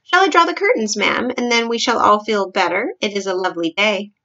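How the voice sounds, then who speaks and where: A woman's voice: medium pitch, a little fast, sharp tone, muffled recording. A woman voicing an anxious, deferential middle-aged maid for a storybook. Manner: deferential, fretful.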